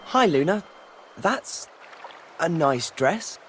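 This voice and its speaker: An actor playing an earnest, loyal teenage schoolboy hero in a video game. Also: male voice, a little high, sing-song, slow pace, slight background noise. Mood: awkward.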